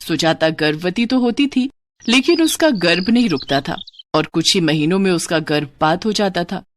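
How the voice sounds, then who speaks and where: A woman narrating a moral story with a clear, even, steady storyteller's delivery. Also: fairly low pitch, normal speed.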